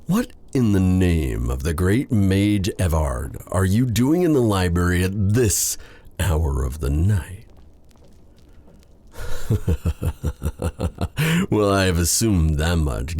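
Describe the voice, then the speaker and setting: A man playing a possessive, silky dark sorcerer in a theatrical fantasy ASMR roleplay, drawing out courtly endearments with quiet menace. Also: low-pitched, exaggerated voice.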